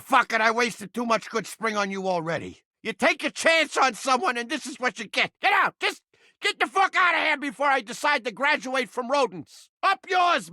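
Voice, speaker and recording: A touchy little mouse trap brought to life, with a piercing, bright voice recorded very dry. He is a brash, streetwise video game cartoon character who gripes in crude slang and flips between insult and bashfulness. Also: very high-pitched, no room sound, exaggerated voice. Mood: bitter, angry. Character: foul-mouthed, touchy, lovelorn male mouse trap come to life.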